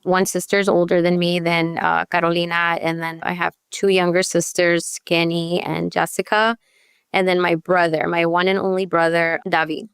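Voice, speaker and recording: Smooth, low-voiced woman, candid guest. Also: flat delivery, moderate pace, no room sound, studio quality. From born in El Salvador, raised partly in Northern Virginia. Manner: candid, conversational, peppered with "you know" and "right?". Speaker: podcast guest, youth advocate. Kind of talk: personal storytelling in conversation.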